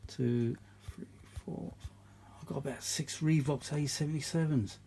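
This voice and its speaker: A man's voice: on the high side, fairly even intonation, a little slow, gravelly, mellow, a little hiss or hum. A middle-aged or older man giving casual, chatty repair commentary, rambling and thinking aloud as he works. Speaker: vintage audio repair vlogger.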